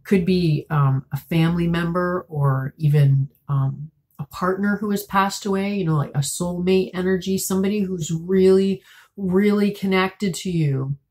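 A woman in her thirties giving a tarot reading to camera at home, a bit congested and raspy from a cold, talking in a soft, musing way with many fillers. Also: lowish voice, a little slow. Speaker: tarot reader. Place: small room at home, indoors.

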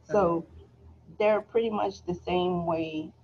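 A soft-spoken woman teaching a relaxed phlebotomy review lecture over a video call, chatty and laughing easily. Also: on the low side, slow, slightly rough. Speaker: phlebotomy instructor. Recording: slightly dull video call audio.

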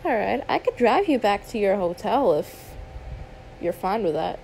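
A woman's voice: medium pitch, big pitch swings, a little slow, vocal fry, darkish tone, slight background noise, rough recording. A woman playing a friendly, trusting young female YouTuber in a conversational ASMR roleplay, chatty and confiding with some hesitation. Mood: friendly, hesitant. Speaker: roleplay audio performer.

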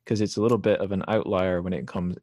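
Low-voiced young man hosting a podcast. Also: steady tone, quick pace, a little mellow, no echo. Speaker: podcast host. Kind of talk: casual conversational interview.

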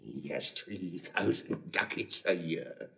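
Boozy blustering middle-aged knight, shakespeare audio play, gravelly and really animated. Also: male voice, sits low, takes it slowly, full character voice. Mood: blustering, jovial. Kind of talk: classical Shakespearean audio drama acting.

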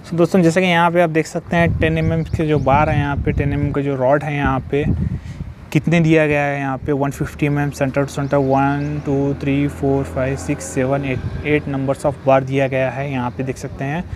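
A man's voice: on the high side, flat delivery, moderate pace, background noise. A man walking a building site, filming on a phone and explaining the work in a repetitive, explanatory way, dropping in English technical terms. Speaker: civil construction site vlogger. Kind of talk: walkthrough explaining a construction site. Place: outdoor construction site. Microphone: phone held while filming.

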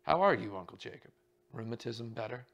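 A man's voice: medium pitch, lively intonation, a little fast, fairly bright tone. A man voicing a nervous, resentful male actor who hesitates and stammers as he talks. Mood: tense.

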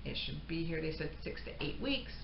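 Her voice is low for a woman, with plenty of gravelly fry. She is a middle-aged American talking in a loose, rambling vlog style, often dropping one thought for the next. The sound is roomy and echoey, as if from a camera mic a little way off indoors. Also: animated, brisk. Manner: rambling, unscripted, often breaking off mid-thought. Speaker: homestead vlogger. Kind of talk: casual chat to camera. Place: indoor pantry room with shelves of jars. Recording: dull, echoey room sound with faint noise.

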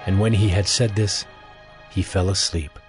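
Guy narrating a bible audio drama, lowish voice, music playing behind him. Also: steady tone, moderate pace, slightly rough. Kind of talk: scripted narration of dramatized scripture.

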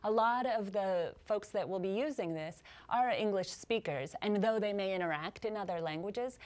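A woman in her thirties, a policy guest in a TV studio interview, explaining in an upbeat way and quick to agree. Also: medium pitch, a little mellow. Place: TV news studio.

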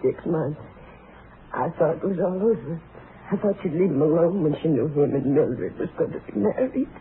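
A woman's voice: low-pitched, animated, moderate pace, phone-quality sound. Older bereaved mother, bitter, mournful, earnest, 1950s radio drama, thin slightly noisy recording. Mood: grieving.

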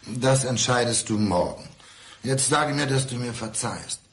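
A man's voice: mid-range voice, a little slow, fairly bright tone, breathy edge. An actor playing an obsessive, volatile man in a German radio crime drama, intense and insistent in manner. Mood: anxious, pleading. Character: obsessive, volatile, alcohol-prone man, menacingly tender. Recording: studio radio drama with music.

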